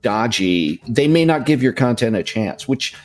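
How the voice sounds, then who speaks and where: A man chatting on a podcast, giving earnest, relaxed advice to new podcasters over a USB mic. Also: medium pitch, normal speed. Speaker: podcast guest. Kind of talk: casual podcast chat. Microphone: USB condenser mic.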